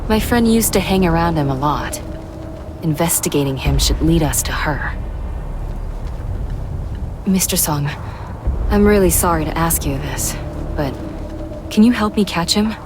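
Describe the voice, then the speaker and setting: A woman's voice: low-pitched, lively intonation, unhurried, pauses often, noisy background. An actress playing a determined, stubborn young woman hunter in a game, earnest and insistent. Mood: hushed, earnest. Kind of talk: dramatic game dialogue. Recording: loud background music under the voice.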